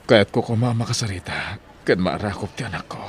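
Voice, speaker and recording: A man's voice: on the low side, lively intonation, brisk, slight background noise, natural voice. A man playing a regretful, humble estranged father in a radio drama, with an Ilocano accent. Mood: surprised, hesitant. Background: music bed.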